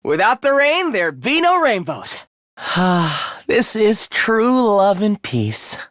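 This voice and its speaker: A man's voice: high-pitched, big pitch swings, takes it slowly, a bit bright, dry recording, clean studio sound, full character voice. A man voicing a young male student in an anime game, sweetly idealistic yet secretly sinister, with a light, polite, theatrical delivery. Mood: gentle, upbeat.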